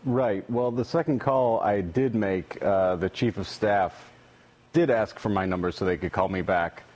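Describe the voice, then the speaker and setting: A man's voice: on the low side, unhurried, darkish tone. A man answering interview questions in a dry, sardonic and somewhat hesitant manner. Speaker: interview guest, editor and prank caller. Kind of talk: interview recounting.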